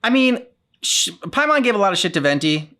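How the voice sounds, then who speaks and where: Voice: mid-range voice, big pitch swings, a little fast, bright, forceful tone. A reaction channel co-host casually discussing a video game in a loose, wry, meandering way.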